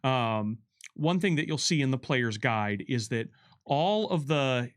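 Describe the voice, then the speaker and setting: A man, a game developer, explaining things with careful, halting wording in a casual livestream discussion. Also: medium pitch, lively intonation. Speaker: game developer giving commentary.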